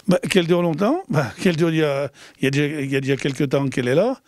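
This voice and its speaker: A man speaking as a local community council president in an interview, halting and repeating words. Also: a little high, a little mellow, dry recording. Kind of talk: interview statement.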